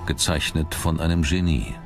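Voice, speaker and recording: Really low guy voice narrating a documentary, measured and dramatic, music playing loud behind him. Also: creaky. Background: background music.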